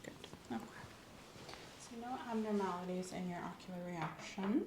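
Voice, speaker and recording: A woman playing a calm, attentive doctor in an ASMR roleplay, speaking softly, often in a near whisper, with gentle step-by-step instructions. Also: on the low side, normal speed, roomy sound, very noisy, lightly acted. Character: calm, attentive female doctor giving an exam. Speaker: ASMR performer playing a clinician. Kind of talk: soft-spoken ASMR medical roleplay.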